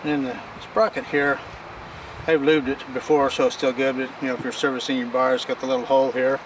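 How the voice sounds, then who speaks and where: A man's voice: mid-range voice, flat delivery, fast. Folksy older man demonstrating outdoors. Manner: folksy, rambling, plain-spoken. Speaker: homesteading channel host. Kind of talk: casual how-to demonstration. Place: outdoor backyard workspace. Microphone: camera mic from a distance. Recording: distant outdoor mic with noise.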